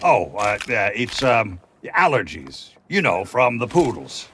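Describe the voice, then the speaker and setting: Slow, bright-edged scheming pirate villain. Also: male voice, lowish voice, very animated, a little hiss or hum. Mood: evasive. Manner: hammy, slow, boastful. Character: scheming undead pirate villain impersonating a guard, theatrical. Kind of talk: video game cutscene acting.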